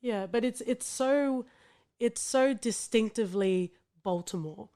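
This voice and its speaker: A woman with an Australian accent hosting a podcast, chatty and quick to laugh. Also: a little high, dark tone. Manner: chatty, laughs often, trails off and restarts. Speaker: podcast host. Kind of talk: casual podcast chat.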